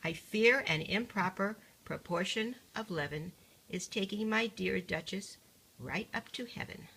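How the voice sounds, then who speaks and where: Woman reading as a mild, creaky-voiced duke. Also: medium pitch, measured pace, a bit bright, slight background noise, rough recording. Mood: resigned. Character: mild, resigned, practical storybook duke.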